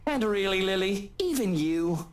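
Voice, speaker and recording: An actor playing a mocking, arrogant, wealthy master of the house with a theatrical sarcastic drawl in a visual novel. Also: male voice, sits high, animated, a little slow.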